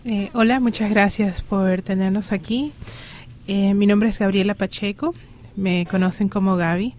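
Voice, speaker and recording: A woman's voice: medium pitch, steady tone, darkish tone, slight background noise. Proud young woman from Ecuador. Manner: proud, deliberate. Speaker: guest, activist.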